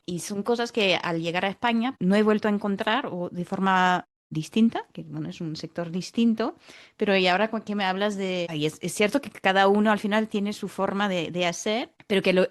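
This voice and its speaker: A woman from France, a native French speaker, interviewing casually with halting, roundabout phrasing and repeated words. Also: mid-range voice. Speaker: interviewer, podcast host.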